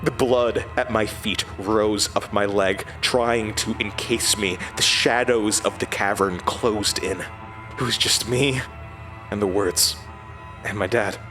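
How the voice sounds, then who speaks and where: A troubled man haunted by his past, leads a horror audio drama in a low voice. He sounds uneasy and halting, with music clearly playing behind him. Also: steady tone, pushed and bright. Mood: tense, haunted. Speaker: lead character and narrator.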